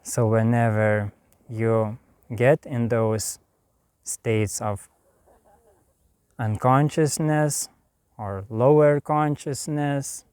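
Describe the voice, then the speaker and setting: A man with a Lithuanian accent speaks very slowly and softly, leaving long pauses between short phrases. His voice is smooth and resonant, and the recording is dry and close. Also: mid-range voice, dry recording. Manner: slow, soft and calm, with long pauses. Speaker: spiritual teacher. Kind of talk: meditative spiritual talk.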